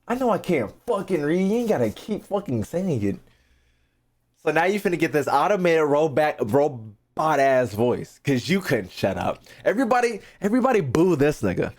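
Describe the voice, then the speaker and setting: Animated, high-pitched American man streaming. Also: normal speed. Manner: brash, heavily swearing banter; repeats phrases for emphasis. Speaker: streamer hosting a reaction video. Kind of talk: casual livestream chat to viewers.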